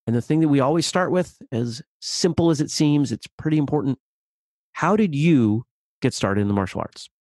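A man hosting a martial arts interview podcast, upbeat and conversational, speeding up and slowing down on key words. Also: a little high, animated, darkish tone, dry recording. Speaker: podcast host. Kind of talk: casual interview with ad reads.

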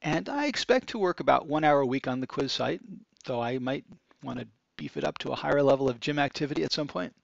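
A man's voice: medium pitch, lively intonation, fast talker, gravelly, no room sound. A man narrating a screencast walkthrough of a website, explaining each click step by step in an upbeat manner. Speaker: product demo presenter. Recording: dry, slightly dull sound.

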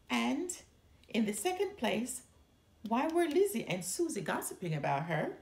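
A middle-aged woman reading a children's story aloud with expressive narration in a living room. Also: a little high, vocal fry. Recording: roomy indoor echo.

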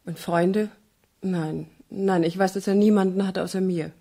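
A woman's voice: medium pitch, steady tone, a little slow, warm tone. An actress playing an anxious, guarded young woman in a radio crime drama, speaking with restrained politeness. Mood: worried, insistent. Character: anxious, guarded young woman seeking her missing fiancé. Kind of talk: 1970s German radio crime drama acting.

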